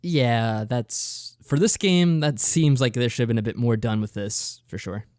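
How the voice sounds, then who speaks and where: A man's voice: medium pitch, unhurried, a little mellow, no room sound. Matter-of-fact man on a close, dry mic. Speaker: podcast co-host. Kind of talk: casual review chat.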